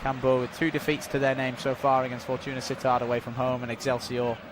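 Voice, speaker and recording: Male football commentator, measured delivery, mid pitch, clear outdoor background noise. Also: flat delivery. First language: not Dutch. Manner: measured, informative, filling time with stats and updates. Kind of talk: live sports commentary during a stoppage.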